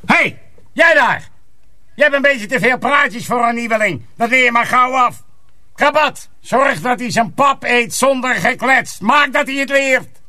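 An actor playing a cruel, domineering mill master in a radio drama, commanding and threatening in a theatrical way. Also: male voice, very high voice, a little slow, pushed and bright, full character voice. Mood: stern, scolding.

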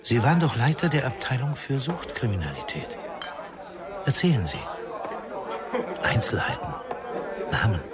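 Amid busy chatter, a sly, persistent information hunter in a radio crime drama. His mid-pitched voice moves up and down in lively swings. He probes and taunts as he talks. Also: normal speed, long pauses. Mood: needling. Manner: taunting, probing. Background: crowd chatter.

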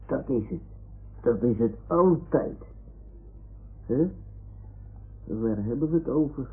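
A man's voice: mid-range voice, lively intonation, slow. A man playing a fussy, pompous, grumbling toy lion who is a boy's confidant in a radio play. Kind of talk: 1960s Dutch radio play acting. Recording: old narrow-band radio recording.

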